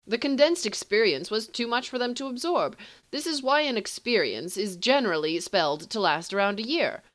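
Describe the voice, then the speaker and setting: A woman's voice: on the high side, expressive, moderate pace, fairly bright tone, voice pushed up. A woman voicing a haughty, condescending male sorcerer with a clipped, superior manner. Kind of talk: storybook reading.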